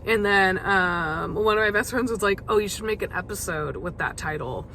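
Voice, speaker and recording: Young woman with a gravelly, fading voice. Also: medium pitch, normal speed, sharp tone, slight background noise. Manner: chatty, many fillers and restarts. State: losing her voice, gravelly. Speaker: podcast host. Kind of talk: casual solo podcast monologue.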